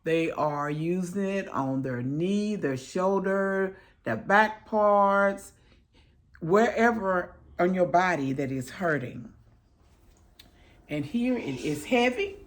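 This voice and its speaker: A woman chats slowly through a product review at home, her voice hoarse and crackling from a recent illness. She speaks in a low register, warm-hearted and devout in her greetings. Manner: affectionate, chatty, pious greetings.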